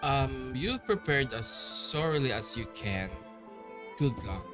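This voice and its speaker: A man's voice: medium pitch, very animated, takes it slowly. A man giving casual playthrough commentary, muttering half to himself as he plays a game. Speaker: gamer playing through a story quest. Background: game music.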